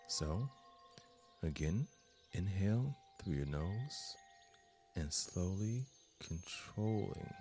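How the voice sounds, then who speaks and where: A man with an extremely low voice leads a guided meditation. He speaks very slowly and calmly, leaving long pauses between measured, deliberate phrases. Soft music with chimes plays gently behind him. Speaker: guided meditation host and instructor. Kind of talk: slow guided meditation instruction.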